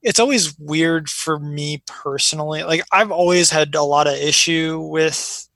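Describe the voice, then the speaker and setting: American male guest, higher-pitched, gravelly edge, rambling casual storyteller. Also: unhurried, bright, forceful tone, no room sound. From born in Iraq, raised in Chicago, United States. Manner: rambling, informal, frequent filler "like". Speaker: interview guest. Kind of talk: casual conversation, personal stories.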